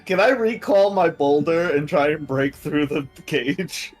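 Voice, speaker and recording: A man's voice: on the high side, lively intonation, unhurried, soft background music. A man playing a tabletop game, eager and giggly, tripping over his words. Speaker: player.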